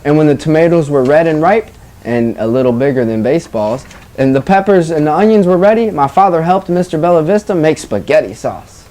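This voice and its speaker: Casting: classroom teacher, mid-pitched voice, storybook read-aloud and prompting questions for young pupils. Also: animated, a little fast, mellow. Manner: prompting children with questions, acknowledging their answers.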